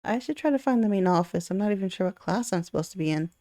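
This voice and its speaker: A woman's voice: medium pitch, expressive, fast, dark tone, no echo, studio quality, close to an everyday voice. A woman voicing a shy, easily flustered young schoolboy in a visual novel, in a tentative, mild way. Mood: hesitant.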